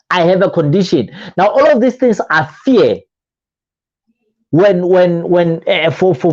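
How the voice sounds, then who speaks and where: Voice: mid-range voice, darkish tone, lo-fi sound. A motivational coach giving a talk full of rhetorical questions and repeated phrases, often restarting words.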